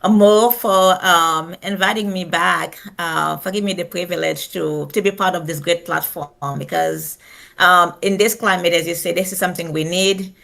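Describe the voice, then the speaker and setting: Woman, low voice, gravelly fry, earnest, proud, halting, podcast guest. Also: fairly even intonation, a bit bright. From Haiti. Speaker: guest, financial professional. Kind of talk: conversational podcast interview.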